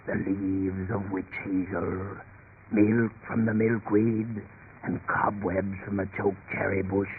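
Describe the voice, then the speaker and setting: Pompous quack medicine peddler, lowish voice, theatrical pitch, tinny vintage radio. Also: male voice. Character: smooth-talking 19th-century quack peddling a miracle cure. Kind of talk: 1930s radio acting. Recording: tinny, noisy 1930s radio recording.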